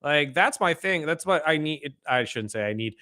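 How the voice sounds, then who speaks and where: A man's voice: fairly high pitch, lively intonation, moderate pace, bright, forceful tone. A man hosting a sports radio show, talking basketball in a casual, opinionated and emphatic way. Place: radio studio.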